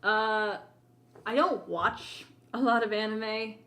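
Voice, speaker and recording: A woman's voice: on the high side, slow, fairly bright tone. Echoey, informal woman chatting on a podcast. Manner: informal, teasing, swears casually. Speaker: podcast co-host. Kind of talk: casual chat. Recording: roomy, echoing voice recording.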